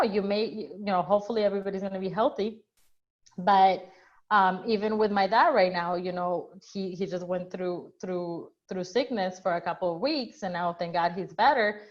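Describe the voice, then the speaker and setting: Earnest woman on a lo-fi webinar call. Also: mid-range voice. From Ecuador. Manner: earnest, many restarts and fillers. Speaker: real estate agent. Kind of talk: webinar conversation. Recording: dull lo-fi webinar audio.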